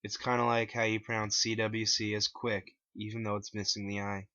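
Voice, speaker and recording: A man's voice: lowish voice, flat delivery, moderate pace, pushed and bright, no room sound, highs cut off. A man reading his own story aloud in a dramatic first-person narration, on a plain home recording.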